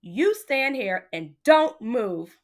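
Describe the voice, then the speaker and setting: A woman's voice: fairly high pitch, very animated, takes it slowly. A woman voicing an exasperated father in a children's storybook, stern, emphatic and scolding. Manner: stern, emphatic, easily startled.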